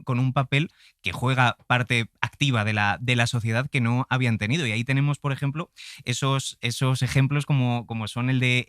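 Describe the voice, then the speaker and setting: A man's voice: medium pitch, a bit bright, no echo, clean studio sound. A man hosting a conversational interview, building up his points in a wordy, circling way. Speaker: podcast interviewer.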